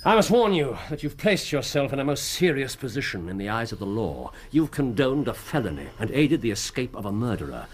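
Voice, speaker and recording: An actor playing a stern, shrewd Victorian gentleman detective in a radio drama, with an upper-class English accent and precise, authoritative speech. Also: mid-range voice, very animated, brisk, hardly any pauses, husky.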